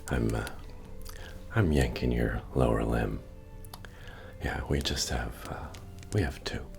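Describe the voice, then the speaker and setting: An extremely low, creaky male voice acted by a man plays a genial fantasy tavern keeper. He murmurs softly and takes his time, with a wry, folksy humor, as in a cozy ASMR roleplay. Bard music plays behind him. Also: heavily acted. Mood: teasing, wry. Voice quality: deep, gravelly, breathy murmur. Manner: unhurried, folksy, gently joking, hesitant asides. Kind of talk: soft-spoken ASMR roleplay. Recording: soft music playing behind the voice. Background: bard-style music.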